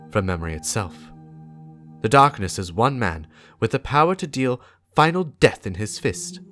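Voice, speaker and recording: A man voicing a stern, righteous warlock, speaking gravely and deliberately in a dramatic lore reading, with emphatic warnings against corruption. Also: on the low side.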